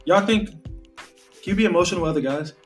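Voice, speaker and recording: A man's voice: high voice, fast, soft background music. A man chatting casually as a podcast co-host in a slangy, questioning manner. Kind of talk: casual chat.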